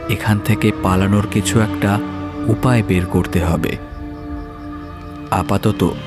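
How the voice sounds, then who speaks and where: A man narrating a scripted horror story in a measured, dramatic way, pausing between phrases. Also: lowish voice. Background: music.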